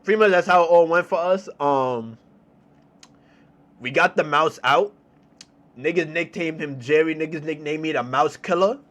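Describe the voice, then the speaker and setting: A young man with a New York accent telling a story in a casual, slangy, animated way, repeating phrases for emphasis. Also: fairly high pitch, normal speed, pauses often, lo-fi sound. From New York City, United States. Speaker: storytelling streamer.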